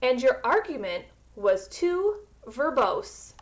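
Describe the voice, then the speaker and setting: A firm storybook mother , read by a woman, speaks formally and deliberately. Her voice sits fairly high, with emphatic rises and falls. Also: very animated, full character voice. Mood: firm refusal.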